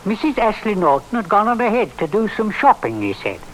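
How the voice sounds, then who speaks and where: A man acting a blind, deferential old villager with halting speech in a radio drama. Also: high-pitched, sing-song. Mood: weary, earnest. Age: older. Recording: faintly noisy old radio recording.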